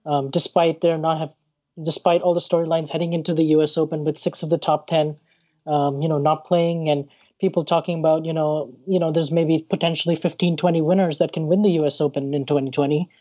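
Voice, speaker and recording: A man co-hosting a casual podcast, speaking in a measured way with many fillers. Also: a little high, little pitch movement, dark tone, highs cut off. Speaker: podcast co-host.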